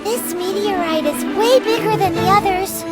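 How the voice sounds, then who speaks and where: A woman's voice: very high voice, moderate pace. A woman voicing a small, perky, childlike fairy companion in a video game, with a bubbly, chatty manner. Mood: matter-of-fact. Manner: bubbly, childish, singsong humming. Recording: music behind the voice.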